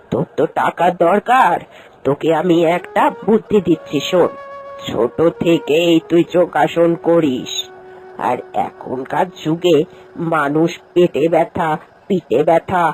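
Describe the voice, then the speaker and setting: Low-voiced kindly old village aunt, acted by a woman. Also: measured pace, a little mellow, faint music behind, close to an everyday voice, poor audio. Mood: earnest advising. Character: kindly, practical elderly village aunt living alone. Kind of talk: Bengali moral-story cartoon voice acting.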